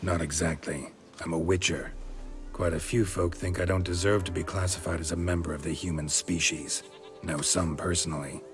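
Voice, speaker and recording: An actor playing a gruff, laconic witcher in a video game, speaking in terse, dry, clipped lines. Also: deep voice, steady tone, normal speed, soft background music, close to an everyday voice. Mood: matter-of-fact. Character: gruff, laconic male witcher, a monster hunter.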